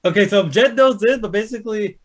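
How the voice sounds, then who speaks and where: A man's voice: high voice, fairly quick. A man chatting casually, self-deprecating and full of swearing. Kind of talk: casual chat.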